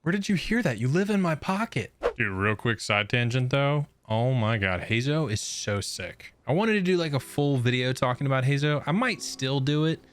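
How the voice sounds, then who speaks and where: A man's voice: medium pitch, lively intonation, moderate pace, few pauses, a little hiss or hum. A young man giving casual, slangy and playful gameplay commentary as a streamer. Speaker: gaming streamer.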